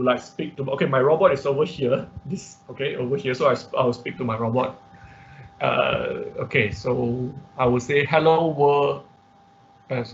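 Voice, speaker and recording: A man teaching an informal online programming class, thinking aloud haltingly and repeating his words as he explains. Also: mid-range voice. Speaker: instructor. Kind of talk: informal online programming lesson with live demonstration. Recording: muffled, noisy online class audio.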